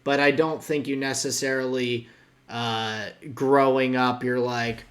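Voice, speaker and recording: A man's voice: medium pitch, fairly even intonation, a little slow. A young man co-hosting a podcast, talking in a loose, rambling way with frequent restarts. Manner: rambling, restarts often, guesstimates. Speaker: podcast co-host. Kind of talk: casual chat.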